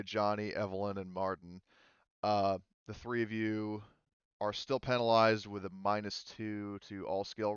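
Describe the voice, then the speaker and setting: A man working as game master, casually narrating a tabletop game in halting, improvised phrases. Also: fairly low pitch, fairly bright tone, clear, resonant tone, no room sound, muffled recording.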